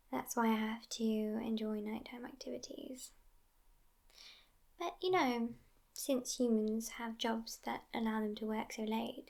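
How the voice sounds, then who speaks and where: A woman playing a sassy, world-weary, centuries-old vampire girlfriend in a breathy, whispery ASMR roleplay, languid and disdainful, with thoughtful hums. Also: on the high side, a little mellow, exaggerated voice.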